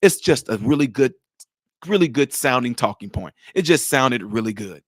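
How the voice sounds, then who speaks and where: A man giving animated casual commentary on a video clip, with pointed rhetorical questions. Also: medium pitch, moderate pace, dry recording, studio quality. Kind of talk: casual live-stream commentary.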